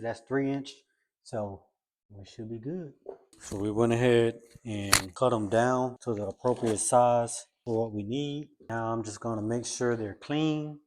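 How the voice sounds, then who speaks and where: Male DIY car-channel host, mid-range voice, informal walk-through delivery while working. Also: medium pitch. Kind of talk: casual how-to vlog narration while working.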